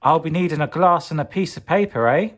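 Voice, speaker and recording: A man's voice: a little high, fairly quick, pitched above the performer's own voice, lightly acted. A man voicing a plucky, unflappable superhero potato in a children's storybook, speaking in a heroic, exclaiming way. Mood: cool, confident.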